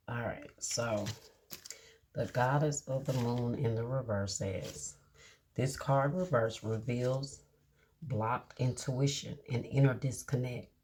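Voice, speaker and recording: A woman giving a casual tarot reading to her viewers, reading card meanings aloud slowly and checking in with a reassuring "okay?". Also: extremely low pitch, darkish tone, a little hiss or hum. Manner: drawn-out, reassuring, many "okay?" check-ins. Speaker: tarot reader.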